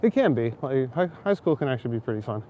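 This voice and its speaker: A man's voice: medium pitch, sing-song, quick pace, warm tone, a little hiss or hum. A man with an Ontario Canadian accent chatting casually with his stream while walking outdoors, rambling and joking with frequent chuckles. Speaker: streamer talking to chat. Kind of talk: casual walking livestream chat. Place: outdoor city square in winter.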